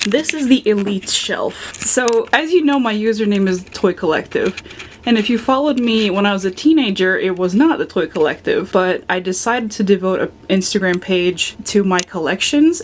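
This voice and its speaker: A woman's voice: mid-range voice, lively intonation, moderate pace, faint music behind. Playful young woman touring her room. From Philadelphia, USA. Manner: playful, affectionate, dry jokes about her toys. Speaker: collector giving a room tour. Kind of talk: casual home tour narration. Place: home sewing room and office, indoors.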